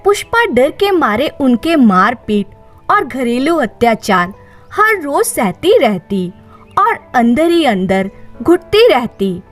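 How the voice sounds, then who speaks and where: A woman's voice: sits high, very animated, slow. A woman narrating a dramatized moral story as a storyteller. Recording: faint music behind the voice. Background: faint music.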